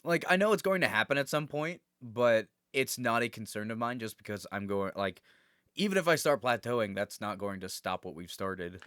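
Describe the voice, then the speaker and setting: A man's voice: on the low side, lively intonation, moderate pace, a bit bright, clean tone, dry recording, studio quality. A man co-hosting a casual podcast, chatting loosely, swearing and laughing often. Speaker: podcast co-host. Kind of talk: casual chat.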